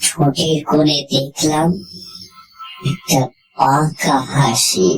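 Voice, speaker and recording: A woman narrating a scripted horror story in the first person, reading dramatically and deliberately with suspenseful pauses. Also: low-pitched, steady tone, faint music behind, poor audio.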